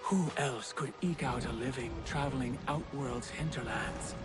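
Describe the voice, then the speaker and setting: Game role: male actor as scheming, calm deliverer of grand promises, deliberate, over loud music. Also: medium pitch, close to an everyday voice. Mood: grand, tempting. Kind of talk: dramatic video game acting.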